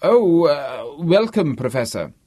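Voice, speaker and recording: A man's voice: mid-range voice, big pitch swings, normal speed, a little mellow, husky, no echo, clean studio sound, pitched above the performer's own voice. A man voicing a polite, slightly nervous English academic with formal courtesy, as part of a storybook reading. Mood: flustered, polite.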